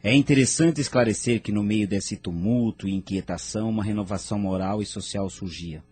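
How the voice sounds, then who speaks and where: A man playing a noble spirit mentor in an audio drama, narrating in a measured, formal, grave manner. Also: on the low side, a little mellow, husky, no echo. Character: Inumar, grave noble male spirit mentor recounting history.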